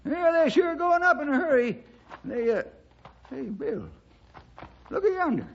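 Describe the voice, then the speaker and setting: A man's voice: very high voice, very animated, measured pace, creaky, a little hiss or hum, exaggerated voice. A male actor playing a folksy old-timer cowboy sidekick with a rural Western American accent in a 1960s radio drama. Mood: curious. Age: older. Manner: folksy, drawling dialect speech. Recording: dull vintage radio recording.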